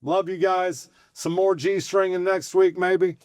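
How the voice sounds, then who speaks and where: A middle-aged man with a hoarse, fairly high voice rambles along in folksy, excitable bursts, stopping and restarting his sentences. The sound is dry and close, with no room echo. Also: unhurried. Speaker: host and bar owner. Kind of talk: casual podcast chat.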